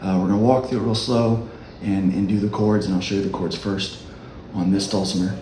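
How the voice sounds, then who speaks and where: Low-voiced man teaching dulcimer. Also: steady tone, mellow, echoey room, a little hiss or hum, poor audio. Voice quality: low speaking voice. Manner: unhurried, halting explanation. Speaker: dulcimer teacher and worship leader. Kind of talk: casual music tutorial.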